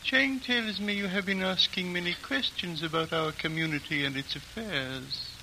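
An actor playing an ancient, frail High Lama who speaks in a slow, grave, measured way, in a 1940s radio drama. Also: male voice, creaky, bright, forceful tone, slight background noise, exaggerated voice, low-quality recording. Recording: old radio broadcast with music.

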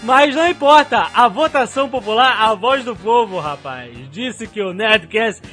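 A Brazilian man hosting a podcast with animated, exclamatory banter. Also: extremely high pitch, normal speed. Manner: exclamatory, laughs often. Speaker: podcast host. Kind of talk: animated podcast banter, reading listener emails. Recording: music behind the voice. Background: background music.

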